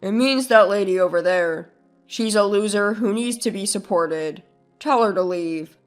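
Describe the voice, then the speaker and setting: A scornful grown man who mocks his sister with lazy contempt, put on by a woman narrator. The voice drops low and sounds slow and sneering. Faint music plays behind him. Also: natural voice. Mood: smug. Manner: mocking, dismissive. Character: smug scornful adult brother, a man.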